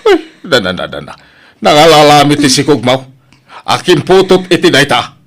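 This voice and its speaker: A man's voice: on the high side, big pitch swings, normal speed, fairly bright tone. A man with an Ilocano accent acting an angry, hurt husband in a radio drama. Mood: furious.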